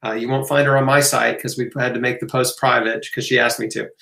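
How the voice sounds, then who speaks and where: A man's voice: medium pitch, flat delivery, quick pace, gravelly, pushed and bright. A man hosting a casual live Q&A, answering questions off the cuff in a conversational way with emphatic asides. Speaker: host of a live health Q&A. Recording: roomy, echoing room sound.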